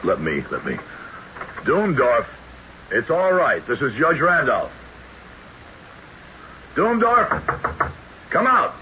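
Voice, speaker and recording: An American actor playing a serious, watchful old-West judge who narrates and acts in a radio drama, with measured delivery. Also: male voice, fairly high pitch, huge pitch swings, pushed and bright, heavily acted. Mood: serious, wary. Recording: muffled, noisy old radio broadcast.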